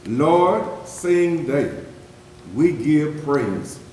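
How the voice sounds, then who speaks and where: A man performing a solemn poet-witness who mourns the horrors of slavery, reciting dramatic verse in a declamatory, deliberate way with weighted pauses. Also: on the high side, lively intonation, dark tone. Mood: solemn, bitter. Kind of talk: dramatic spoken-word poetry recitation, readers theatre. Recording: very echoey hall, distant mic, faint noise.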